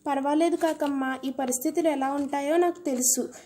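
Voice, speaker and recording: Woman voicing a high, plaintive mother sparrow. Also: fairly even intonation, moderate pace, mellow, lightly acted. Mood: kind, reassuring. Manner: plaintive, repeats calls and names. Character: pleading, kind mother sparrow from a children's puppet tale. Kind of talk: children's puppet-show storytelling.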